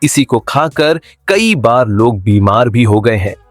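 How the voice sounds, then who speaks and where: Cartoon casting: actor as put-upon mutton seller, adult male, medium register, very lively intonation, emphatic and complaining. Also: mid-range voice, slow, no echo, faint music behind. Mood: accusing. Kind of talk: Hindi cartoon voice acting.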